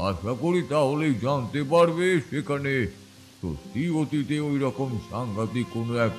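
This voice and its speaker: A male actor plays a proud old wandering mystic in an audio drama. His pitch swings widely as he speaks with emphatic, measured authority, over soft background music. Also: natural voice. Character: proud, wandering elder tantric recalling his eerie past. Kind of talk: Bengali audio story acting.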